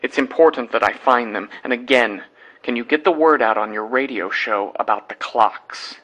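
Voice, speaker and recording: A man plays an earnest, puzzled scientist in an audio drama. His voice is bright and sits at an ordinary male pitch. He speaks in a matter-of-fact, slightly apologetic way, as if leaving a voicemail. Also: moderate pace, lightly acted, poor audio. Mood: distracted, businesslike. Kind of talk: voicemail monologue in a fiction podcast.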